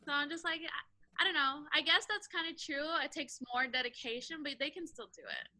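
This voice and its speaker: A woman's voice: high voice, animated, a little fast, pushed and bright. A woman of twenty-two, a college student, answering questions casually and a bit hesitantly as an interview guest. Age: 20s.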